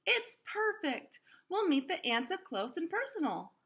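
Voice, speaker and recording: An excitable young schoolgirl played by a woman reading a storybook, bossy and eager. The voice sits high and swings up brightly as she exclaims. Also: measured pace, exaggerated voice.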